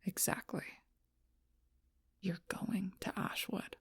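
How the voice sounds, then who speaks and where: A woman playing a gruff, stubborn, protective female alpha werewolf in an intense audio roleplay, blunt and often hushed, with a husky voice. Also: slow pace, no room sound, natural voice. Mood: hushed, grim. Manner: intense, blunt, swearing, often dropping to whispers.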